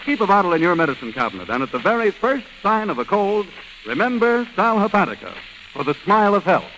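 A man's voice: high-pitched, big pitch swings, fast talker, rough recording. A male announcer reading a scripted 1930s radio advertisement with polished, emphatic salesmanship. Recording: old radio recording, faint noise.

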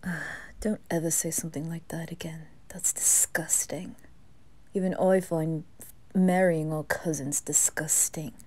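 Woman playing aristocratic young brother, reserved, slightly low pitch, very slow, hushed hesitant delivery, ASMR fan drama. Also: fairly even intonation, no room sound. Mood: awkward, disgusted.

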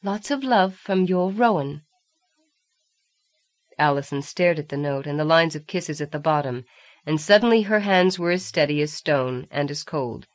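Low-voiced woman narrating a novel. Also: moderate pace. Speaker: audiobook narrator.

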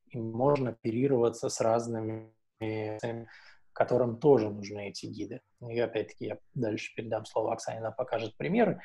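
Husky man presenting, mellow tone. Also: medium pitch. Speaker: webinar presenter for a travel audio guide platform. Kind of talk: informal webinar presentation. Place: indoor room at home or office, seated. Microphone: wired earphone headset on a video call.

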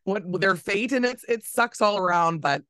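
A woman radio host, talking in a loose, chatty way full of fillers and restarts. Her voice sits at an ordinary pitch and comes through a close, dry studio mic with no room sound. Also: expressive, moderate pace, fairly bright tone, no echo. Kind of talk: casual interview with games.

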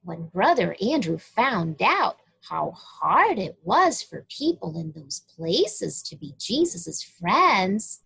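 A woman reading a story aloud to young children in a deliberate, expressive way, addressing them directly. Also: on the high side, creaky, slightly rough, poor audio. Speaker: children's story reader at a church.

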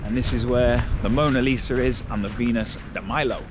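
A man's voice: medium pitch, fairly even intonation, fairly quick, background noise. A man in his forties, chatting casually as he walks and points out city sights, filmed outdoors on a camera held at arm's length. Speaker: travel vlogger. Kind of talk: casual travel vlog commentary. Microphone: action camera held at arm's length.

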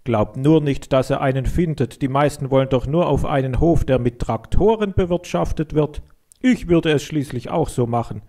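A man's voice: mid-range voice, normal speed, mellow, pitched above the performer's own voice, close to an everyday voice. A man voicing a stubborn, hot-headed farm boy in a children's story, arguing emphatically. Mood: scornful, insistent. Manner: emphatic, argumentative.

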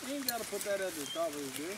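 A man's voice: high-pitched, big pitch swings, very fast. A man bantering outdoors at night in a teasing, wisecracking way. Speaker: hunting companion. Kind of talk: casual banter. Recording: outdoor phone recording with heavy rain noise.

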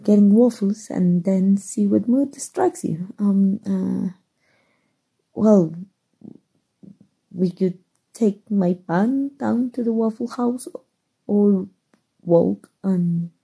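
A shy, awkward gamer superheroine, played by a woman in an ASMR roleplay. Her voice is very soft and mellow, husky with breath and touched with creak. She speaks slowly and haltingly, stammering as she gets flustered. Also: mid-range voice, heavily acted, poor audio. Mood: shy, tender. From Mexico.

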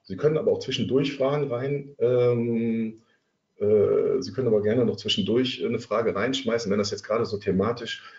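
A man in his thirties with a cold leads an online trading webinar, pausing often and filling gaps with hesitations. His voice sits fairly low. The sound is roomy and a little muffled, like webinar audio. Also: a little mellow. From Germany. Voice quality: halting, many filler sounds. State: has a cold. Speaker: trading coach. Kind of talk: webinar presentation.

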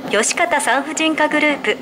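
A woman giving polite, measured, formal scripted announcements as a recorded announcer inside a subway car. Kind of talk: scripted public transit announcement. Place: played over speakers inside a subway car. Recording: noisy train interior, constant rumble.

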